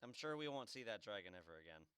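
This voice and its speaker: A man's voice: lowish voice, big pitch swings, fast, fairly bright tone, clean tone, no echo. A man giving casual, dry and mischievous commentary as he plays a game. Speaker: gamer, let's play host.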